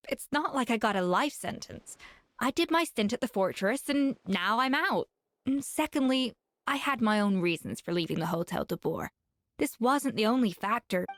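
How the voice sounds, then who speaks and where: A woman voicing a confident female head chef with dry, withering wit and a calm, commanding manner. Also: fairly high pitch, dry recording, close to an everyday voice. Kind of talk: game voice acting. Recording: game audio with soft music.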